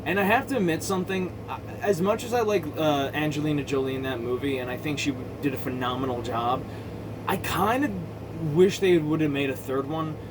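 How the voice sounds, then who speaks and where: A man giving casual, opinionated commentary in a halting, blunt way, often interrupting himself to rephrase and swearing freely. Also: fairly high pitch, noisy background. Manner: halting, self-interrupting, circling back to rephrase; blunt, crude slang and swearing. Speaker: video game movie commentator.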